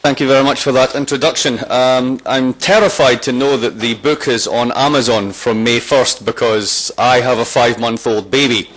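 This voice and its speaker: A man's voice: medium pitch, moderate pace, few pauses, low-quality recording. Scottish man, guest lecturer, wry and joking, mid-range voice, roams off the mic. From Scotland. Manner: wry, joking, lively delivery; strong voice, walks away from the microphone. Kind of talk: public lecture.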